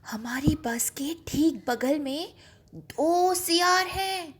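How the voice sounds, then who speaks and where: A friendly forest guide uncle from a children's storybook, acted out by a woman, explains in a high, lively voice. He takes his time, keeping an eager, careful manner. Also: drawn-out words, exaggerated voice. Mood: hushed, excited. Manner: whispering, explanatory.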